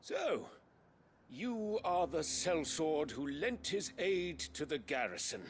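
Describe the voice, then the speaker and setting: Pompous, swooping duke. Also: male voice, a little high, sing-song, slow. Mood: grand, probing. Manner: pompous, grandiose, formal, unctuous. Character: haughty noble cardinal turned warmongering duke. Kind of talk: scripted video game drama. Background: music.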